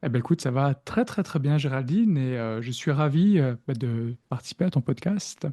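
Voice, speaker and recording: A Belgian man with a Belgian French accent, a productivity coach explaining his work in a podcast interview, thoughtful and halting with many fillers. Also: medium pitch, expressive, mellow. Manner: reflective, hesitant with frequent fillers.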